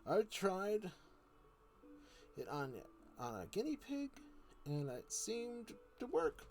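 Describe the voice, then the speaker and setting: A man's voice: sits high, big pitch swings, slow, faint music behind, pitched above the performer's own voice, exaggerated voice. Man playing a cunning, coaxing old uncle. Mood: eager, insistent. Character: cunning, creepy old uncle, eager experimenter, falsely genial. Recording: noticeable background noise.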